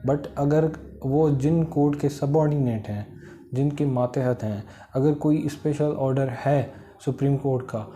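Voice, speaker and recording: A man's voice: mid-range voice, unhurried, mellow. A man with a Pakistani accent giving an informal, explanatory law lecture, mixing English legal terms into his explanations.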